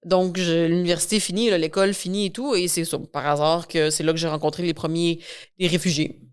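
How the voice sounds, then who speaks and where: A woman with a Quebec French accent speaks in a fairly low, clean and resonant voice. She talks conversationally as an interview guest, hesitating and restarting words while she looks for the right phrasing. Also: steady tone, a little fast, clear, resonant tone. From Quebec, Canada. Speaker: interview guest, newcomer reception coordinator.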